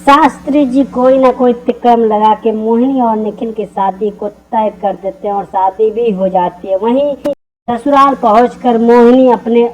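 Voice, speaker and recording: A woman's voice: fairly high pitch, steady tone, measured pace, darkish tone, poor audio. A woman narrating a scripted story.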